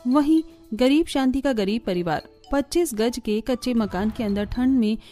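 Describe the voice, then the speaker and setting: A woman's voice: a little high, moderate pace, mellow, soft background music. A woman reading scripted story narration for a family cartoon.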